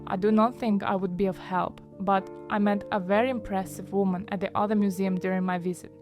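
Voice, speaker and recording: A courteous, modest warplane newcomer, acted by a woman, speaks formally at medium pitch with careful diction. Guitar music plays clearly behind this audio drama voice. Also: little pitch movement, fairly quick, lightly acted. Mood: polite, hesitant.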